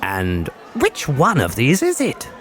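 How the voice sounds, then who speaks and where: Male for a boorish tipsy aristocrat: slow, thick cultured voice, wildly swooping pitch, fantasy audiobook. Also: huge pitch swings, fairly bright tone, a faint crowd, full character voice. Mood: tipsy curiosity. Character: drunken, boorish, pot-bellied male baron. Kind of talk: dramatised fantasy audiobook acting.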